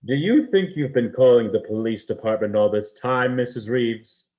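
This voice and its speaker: An actor playing a deliberate, menacing, taunting telephone caller in a vintage radio mystery. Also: male voice, medium pitch, animated, darkish tone, lo-fi sound, close to an everyday voice.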